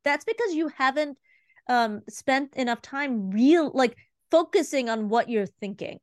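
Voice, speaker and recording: A woman's voice: sits high, lively intonation, dry recording. Chatty woman in her forties, dry close sound. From Cleveland, Ohio, USA. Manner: quick, chatty, heavy with fillers and restarts. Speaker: guest, art consultant. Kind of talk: casual conversational interview.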